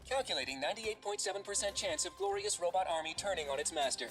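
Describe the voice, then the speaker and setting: An actor voicing a deadpan, coldly insulting AI in a video game, clipped and pedantic, with robotic processing. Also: medium pitch, fast. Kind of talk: video game character voice lines. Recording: game audio under loud music.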